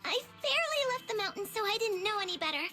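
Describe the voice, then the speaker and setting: A woman's voice: extremely high pitch, very animated, fast talker, music in the background. A woman voicing an earnest, eager young tiger-girl martial arts disciple in a video game. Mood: earnest, polite. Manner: earnest, declamatory.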